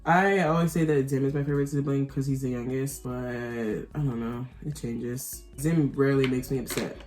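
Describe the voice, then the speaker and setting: A woman's voice: extremely low pitch, fairly even intonation, normal speed, darkish tone, faint music behind. A nineteen-year-old woman vlogger chatting casually while her makeup is done, rambling and giggly with lots of fillers. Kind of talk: casual chat.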